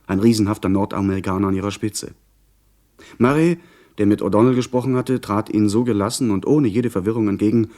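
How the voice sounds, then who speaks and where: An actor playing an earnest, literate young gentleman who narrates his pirate adventure in a measured, articulate way in a radio play. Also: on the low side, lightly acted.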